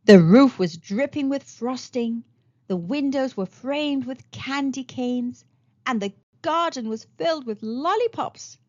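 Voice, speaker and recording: A woman reads a children's tale in a high voice with very animated intonation. Her delivery is expressive storytelling, and the recording sounds a little dull. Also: measured pace. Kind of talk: expressive read-aloud storytelling.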